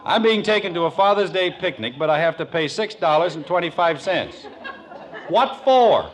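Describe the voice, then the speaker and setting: A man's voice: on the high side, animated, normal speed, close to an everyday voice. An actor playing a sarcastic, exasperated middle-aged American suburban father in a 1950s radio sitcom. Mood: suspicious, sardonic. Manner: dry sarcasm, sputtering indignation. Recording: noisy old radio broadcast recording.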